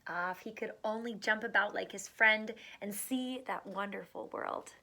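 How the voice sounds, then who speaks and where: A woman teacher reading a storybook aloud to children, expressive, with dramatic pauses and emphasis. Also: mid-range voice, slight background noise.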